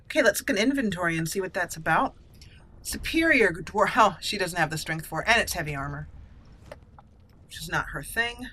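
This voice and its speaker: Bright-voiced woman doing game commentary. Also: on the low side, animated, brisk, a little hiss or hum, rough recording. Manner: talks to her game character, drifts into in-character musing. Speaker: gaming channel host. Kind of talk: casual roleplay let's play commentary. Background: video game sounds.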